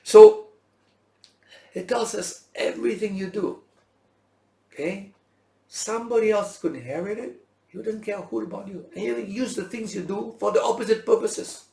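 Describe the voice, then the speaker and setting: A man's voice: high-pitched, moderate pace, creaky, pauses often, mellow. A man giving an informal Bible lesson as a teacher, conversational, posing rhetorical questions and checking in with his listeners. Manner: dramatizes imagined speakers' words in everyday language. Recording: roomy, echoing teaching space.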